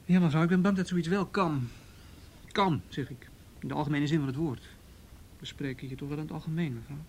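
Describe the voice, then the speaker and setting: A tactful male police detective, played by an actor in a radio drama, with a fairly high voice. He questions patiently and carefully, choosing his words with small hesitations. There is faint noise and rumble on the recording. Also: darkish tone, lightly acted. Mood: measured, cautious. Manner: measured, hesitant, procedural questioning. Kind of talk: Dutch radio drama dialogue.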